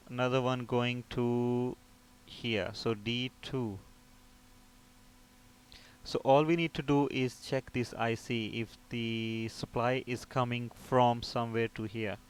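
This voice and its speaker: Man explaining, with clear background noise. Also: medium pitch. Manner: explanatory, poses and answers own questions. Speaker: repair technician instructor. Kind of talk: informal screen tutorial, explaining step by step.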